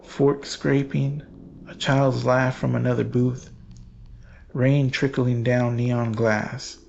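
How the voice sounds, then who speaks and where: A man narrating a scripted horror story in a solemn, measured, portentous manner with dramatic pauses. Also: medium pitch, little pitch movement, gravelly, darkish tone, highs cut off, slight background noise.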